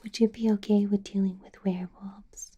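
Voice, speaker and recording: A woman acts out a female vampire boss in an ASMR roleplay, whispering in a soft, dark, breathy voice. She is possessive and doting, coaxing the listener with an undercurrent of menace. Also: medium pitch, level tone, close to an everyday voice. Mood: coaxing, confiding. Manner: slow, coaxing, with small chuckles and pauses.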